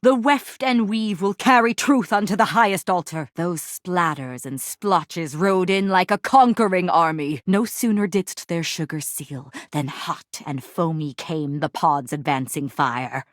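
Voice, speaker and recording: A woman's voice: lowish voice, measured pace, few pauses, dry recording, clean studio sound, lightly acted. A woman playing a proud, battle-obsessed warrior trainer in a video game, declaiming in pompous, archaic, theatrical speech. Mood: grave, grandiose.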